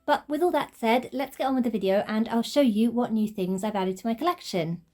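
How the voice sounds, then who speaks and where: A woman's voice: a little high, fairly quick, a little mellow, soft background music. A woman hosting her toy collection channel, chatting enthusiastically through a casual show-and-tell, her voice still slightly off from a recent cold. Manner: chatty, enthusiastic, gushing over tiny details. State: slightly off voice while recovering from a cold.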